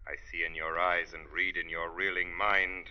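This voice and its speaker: A man's voice: sits low, flat delivery, normal speed, clean tone, lightly acted. A man playing an unseen, taunting avenger in a 1930s radio drama, mocking and portentous. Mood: eerie taunting. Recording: muffled vintage 1930s radio recording.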